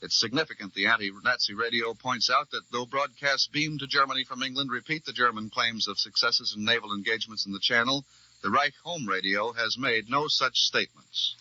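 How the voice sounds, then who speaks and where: Bright, forceful 1940s American radio newsman. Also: medium pitch, a little fast, dry recording. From United States. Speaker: radio news correspondent. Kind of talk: 1940s radio news broadcast, scripted. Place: radio newsroom. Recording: dull vintage 1940s radio broadcast recording.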